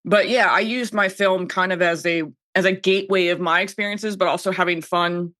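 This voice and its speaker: A woman's voice: medium pitch, fairly even intonation, a little fast, fairly bright tone, no echo. An American woman in her thirties chatting casually and candidly as a podcast guest. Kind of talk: casual chat.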